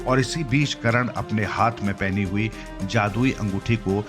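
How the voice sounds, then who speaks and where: Low-voiced man narrating over music. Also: unhurried. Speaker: story narrator. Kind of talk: scripted narration of a children's fantasy story. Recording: clear music bed under the voice. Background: background music.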